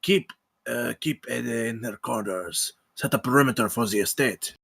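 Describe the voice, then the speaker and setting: A man playing a brooding, controlled, vengeful count in a dramatic table read, with a husky voice. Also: medium pitch, normal speed, fairly bright tone. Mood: cold, commanding.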